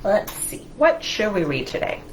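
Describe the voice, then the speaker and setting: A woman's voice: medium pitch, big pitch swings, normal speed, slight background noise. A woman children's librarian leading a storytime, speaking to young children in an encouraging, singsong way. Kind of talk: children's storytime with movement and read-aloud.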